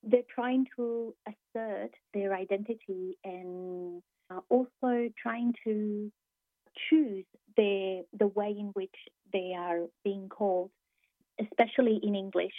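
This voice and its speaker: Woman, Spanish speaker, lecturer, thoughtful, pausing, tinny phone line. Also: medium pitch, steady tone, dry recording. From Argentina. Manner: thoughtful, pauses often to search for words. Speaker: university lecturer. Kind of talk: interview answers.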